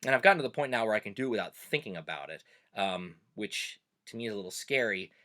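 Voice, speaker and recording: A man with a bright, forward voice talks through a casual monologue as host. His pitch swings widely, and he keeps a chatty, animated flow full of little "uh" pauses. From Pennsylvania Dutch country, USA. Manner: chatty and animated, frequent "uh" fillers. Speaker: host and teacher.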